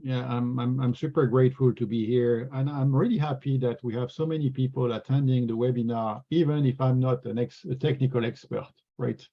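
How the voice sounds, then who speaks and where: This French-accented man speaks earnestly and often restarts his words mid-thought. His voice is gravelly and husky, at a middle pitch. The video call audio is dull and lo-fi. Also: steady tone, a little fast, hardly any pauses. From France. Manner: earnest, repeats words while thinking. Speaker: regional sales executive. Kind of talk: webinar interview answers.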